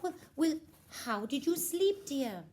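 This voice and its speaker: A woman plays a scatterbrained queen mother in a children's puppet show. She fusses regally and speaks with total self-assurance. Her pitch leaps about in a very animated way. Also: slow, full character voice. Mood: sweetly fussy.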